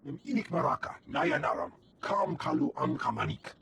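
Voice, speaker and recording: A heavily creaking, guttural male alien in a video game, talking in made-up alien syllables. Faint noisy ambience sits under the voice. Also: medium pitch, animated, normal speed. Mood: matter-of-fact. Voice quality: gravelly, creaky. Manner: speaks an invented alien tongue.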